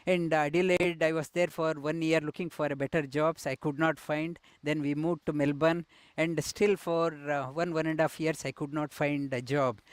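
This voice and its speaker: A middle-aged man from India, a yoga teacher, telling his life story with many pauses, fillers and restarts. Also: fairly high pitch, fairly even intonation, clean tone, dry recording. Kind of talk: personal life story interview.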